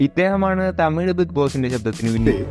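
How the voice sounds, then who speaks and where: A man's voice: fairly high pitch, expressive, a little fast, darkish tone, faint music behind. A man reading scripted narration for an online video.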